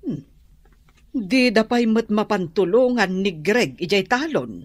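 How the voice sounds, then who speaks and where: A woman's voice: medium pitch, animated, unhurried, no room sound. An actress playing a concerned older village woman who questions what she hears. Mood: doubtful. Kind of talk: radio drama acting.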